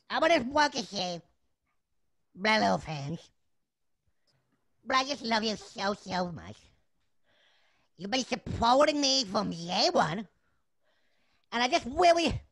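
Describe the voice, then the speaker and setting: A woman's voice: fairly high pitch, fairly bright tone, heavily acted. A woman voicing a sweet, childlike male puppet chef who talks earnestly and effusively, repeating words with feeling. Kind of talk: in-character live chat.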